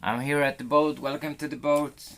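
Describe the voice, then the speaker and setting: A man from Sweden with a Swedish accent, chatting casually with viewers on a live stream from a cold sailboat, in a halting way with restarts. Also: fairly high pitch, fairly even intonation, fairly bright tone.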